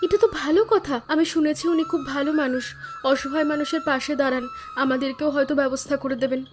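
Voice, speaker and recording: A woman's voice: sits high, steady tone, a little fast, soft background music, lightly acted. A woman voicing an earnest, dutiful village daughter-in-law in a cartoon story, worried but resolute. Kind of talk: Bengali cartoon story dialogue.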